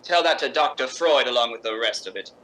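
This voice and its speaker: A woman's voice: a bass voice, animated, fairly quick, fairly bright tone. A woman playing a proud, volatile stage actress with a biting, sarcastic theatrical delivery in a radio drama. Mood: furious, scornful. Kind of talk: radio drama acting in 1950s style.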